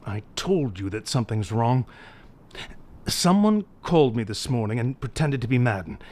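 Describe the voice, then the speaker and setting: A man's voice: mid-range voice, lively intonation, moderate pace, darkish tone, lightly acted. Man as worried middle-aged father, medium voice. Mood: worried, earnest. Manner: earnest, uneasy. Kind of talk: audio re-creation of a 1930s mystery film.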